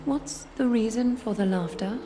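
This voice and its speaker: An actress playing a serene, cryptic and regal seer in a fantasy game, with a formal, poised delivery. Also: female voice, fairly high pitch, moderate pace, close to an everyday voice. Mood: gently amused. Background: background music.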